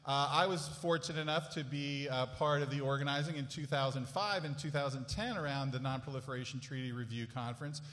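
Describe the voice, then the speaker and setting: A man moderating a panel, speaking in a relaxed, self-deprecating way. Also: medium pitch. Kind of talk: casual, joking introduction. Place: large hall. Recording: echoey hall.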